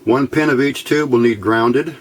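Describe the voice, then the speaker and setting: A man giving a casual, step-by-step hobby electronics tutorial, unhurried and thinking aloud as he works. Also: medium pitch. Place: indoors at a workbench by a window. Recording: faint background noise.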